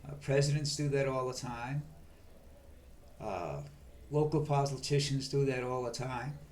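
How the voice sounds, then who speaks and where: An older man talking on in a slow, monotone ramble, stumbling over restarts and fillers. His pitch sits in an ordinary middle range, and a faint hiss of noise runs under the recording. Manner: halting, many fillers and restarts. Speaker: vlog host. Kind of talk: rambling monologue.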